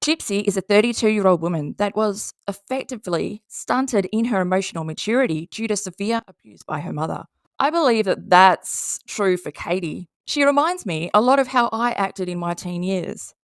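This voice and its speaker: A woman's voice: medium pitch, lively intonation, moderate pace, no echo, studio quality. A woman with an Australian accent giving casual, sarcastic commentary and reading posts aloud in a blunt, mocking way. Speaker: commentary video host.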